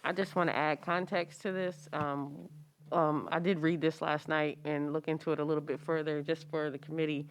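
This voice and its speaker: Low flat woman on the committee, lots of ums. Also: moderate pace, slight background noise. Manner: flat, hesitant delivery with many "um"s. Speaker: committee member. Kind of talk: remarks at a legislative hearing. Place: legislative committee room.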